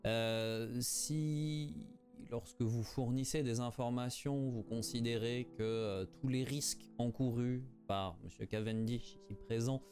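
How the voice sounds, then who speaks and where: A man doing a sardonic, long-winded associate in a tabletop game, with a slow, dark and mellow voice. He builds ornate sentences around many fillers, and faint music plays underneath. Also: mid-range voice, fairly even intonation, natural voice. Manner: elaborate, formal phrasing full of fillers. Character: wordy, sardonic associate of a gentleman. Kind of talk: voicing a player character in a tabletop game.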